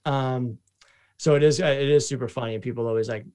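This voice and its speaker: A man's voice: medium pitch, steady tone, quick pace, a little mellow, clean tone. A young man with a heavy Boston accent hosting a podcast, talking casually and saying "super" and "like" a lot. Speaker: podcast host. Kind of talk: casual chat.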